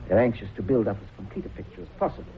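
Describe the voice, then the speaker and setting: An actor playing a keen, curious reporter and husband in a vintage radio drama. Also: male voice, fairly low pitch, sing-song, racing, gravelly. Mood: brisk, informative. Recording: noisy, narrow-band old radio recording.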